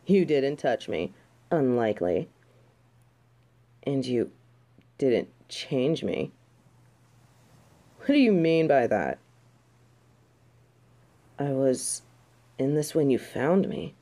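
A woman's voice: rumbling low, big pitch swings, slow, pauses often, dark tone, heavily acted. A woman playing a snobby, prickly fallen angel in an audio roleplay, with a haughty, theatrical manner. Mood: haughty, irritated. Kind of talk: audio roleplay acting addressed to the listener.

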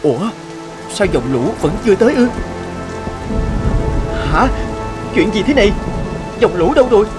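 Fairy-tale cartoon fisherman: young, earnest, kind, highish and very lively. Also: normal speed, pauses often, music in the background. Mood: astonished. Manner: expressive, earnest. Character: kind, hardworking young fisherman-farmer, anxious but steady. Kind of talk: animated fairy-tale dubbing.

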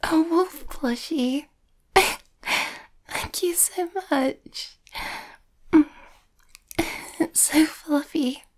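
Shy young werewolf girlfriend played by a woman for ASMR roleplay: high, mellow, hesitant and often half-whispered. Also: drawn-out words, pauses often. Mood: happy, bashful.

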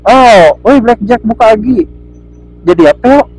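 A man's voice: sits high, expressive, moderate pace, rough recording. A man chatting casually and slangily to viewers while riding a motorcycle. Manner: slangy, addresses viewers as friends. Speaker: motovlogger. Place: outdoors on a motorcycle at night. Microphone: intercom headset fed into action camera. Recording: helmet intercom with loud engine and wind noise. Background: motorcycle engine, passing traffic, wind.

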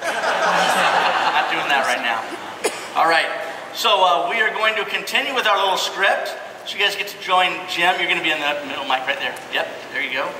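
A man's voice: sits high, animated, moderate pace, distant mic. A man hosting a stage show, speaking casually and upbeat to the audience. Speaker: host of a stage presentation. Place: stage in a large convention hall. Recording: echoey large hall from afar, noisy crowd.

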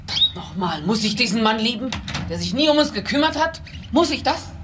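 A bitter, high-voiced mother. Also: big pitch swings, a little fast. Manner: sharp-tongued, impatient. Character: bitter, irritable wife and mother. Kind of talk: German crime radio play acting. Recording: faint background noise.

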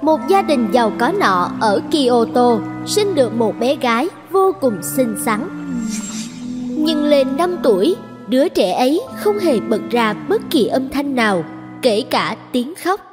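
A woman's voice: sits high, sing-song, unhurried. A woman reading scripted fairy-tale narration as the storyteller. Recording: music behind the voice.

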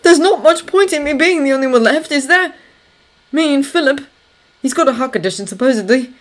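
A woman's voice: high voice, lively intonation, fairly quick, voice pushed up. A woman reading the part of a grieving young male servant in an audiobook, cross and sarcastic, with curt British slang. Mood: scornful, despairing.

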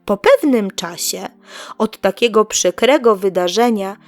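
A woman reads a moral tale for children as an audiobook narrator. Her delivery is slow and deliberate, with pauses between short phrases. The recording is dry and close, with faint music behind the voice. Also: medium pitch, lively intonation, dry recording.

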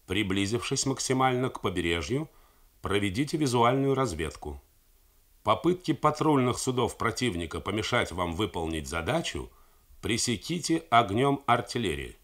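A man, an actor, reading a novel aloud in measured, dramatic radio narration. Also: fairly low pitch, sing-song, a bit bright.

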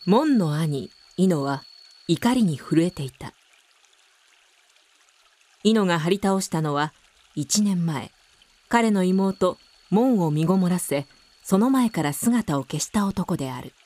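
A woman's voice: fairly low pitch, animated, slow, long pauses, dark tone. A woman narrator reading scripted narration for a literary radio drama.

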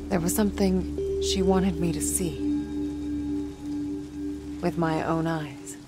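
A woman playing a gentle, protective young psychic in a horror game, speaking in a hushed, earnest way. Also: on the low side, fairly even intonation, takes it slowly. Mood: somber, reflective. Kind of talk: cinematic horror game dialogue and narration. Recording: loud music and rain behind.

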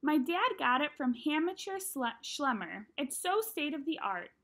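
A woman reading the part of an eager, boastful schoolboy from a children's novel in a slangy, excitable way. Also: sits high, measured pace, pitched above the performer's own voice, heavily acted.

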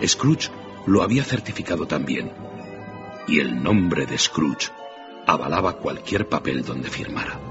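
Narrator for radio drama: male, low-pitched voice, dramatic storytelling delivery, music behind, slightly dull recording. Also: very animated, measured pace. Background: clear background music.

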